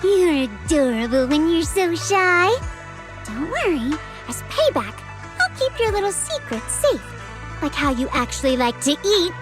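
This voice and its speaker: A woman's voice: high voice, sing-song, unhurried, over music. A woman playing a playful, teasing junior colleague in an anime-style game, coy and sing-song.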